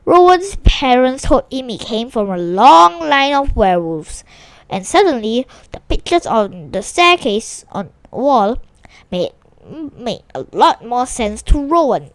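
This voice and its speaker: A woman reading a children's story aloud with animated expression, now and then stumbling over a word. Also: a little high, measured pace, no room sound, rough recording. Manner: animated, with small stumbles and restarts while reading.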